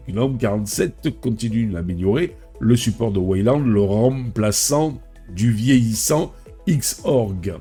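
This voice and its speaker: A man's voice: mid-range voice, dark tone, husky. A man with a head cold hosting a tech video, reading a news script and adding chatty personal asides. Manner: reads then adds chatty personal remarks. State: stuffy with a cold.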